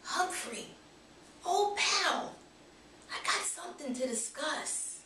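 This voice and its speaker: A woman acts out an earnest middle-aged janitor from a storybook, confiding and excitable. The voice is high and swoops around, heard at a distance in a roomy space. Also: slow pace, a little hiss or hum, heavily acted. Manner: chatty, hesitant, confiding. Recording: roomy, distant camera microphone.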